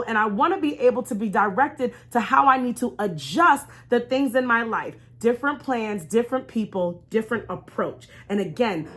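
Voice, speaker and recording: A woman's voice: a little high, fairly bright tone. A woman giving a motivational vlog talk in an emphatic way, repeating key phrases and speaking straight to her viewers. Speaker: vlogger and life coach. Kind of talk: motivational storytime vlog with faith-based encouragement.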